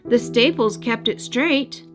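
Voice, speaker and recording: A woman narrating a children's storybook as the storyteller, in an expressive scripted read-aloud style. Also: fairly high pitch, slow. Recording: music bed behind narration.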